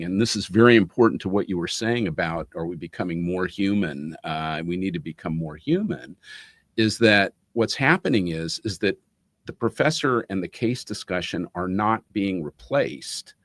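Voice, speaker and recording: A man's voice: sits low, animated, normal speed, fairly bright tone, no room sound, studio quality. A man giving explanatory interview answers in a methodical, professorial way. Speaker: interview guest, business school academic.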